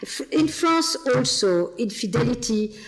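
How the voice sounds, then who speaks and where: Flemish belgian woman, higher voice, thinks out loud, repeats herself. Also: big pitch swings, measured pace, poor audio. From Flemish part of Belgium. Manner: reflective, repeats words while thinking. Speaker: guest therapist. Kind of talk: podcast interview.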